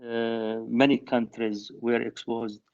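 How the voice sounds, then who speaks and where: A man, a professor, giving a conference talk in a measured, halting way with many filler pauses, over a video call. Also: mid-range voice, little pitch movement, vocal fry, darkish tone. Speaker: professor, panel speaker. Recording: muffled lo-fi call audio.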